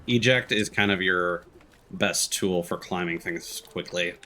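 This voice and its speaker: Low-voiced man streaming a video game. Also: a little slow, bright, forceful tone. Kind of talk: casual gameplay commentary. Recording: game music behind the voice. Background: video game music.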